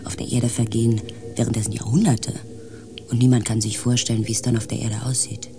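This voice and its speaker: An actress playing a thoughtful spaceship team coordinator who narrates a radio drama in a reflective manner. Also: female voice, a bass voice, little pitch movement, a little fast, creaky.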